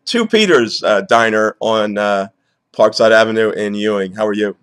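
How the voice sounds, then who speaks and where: A man's voice: on the low side, moderate pace, a bit bright, clean tone, no room sound, studio quality. A man with an American accent hosts an informal interview, asking rambling, casual questions and repeating words as he goes. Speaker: talk show host, interviewer.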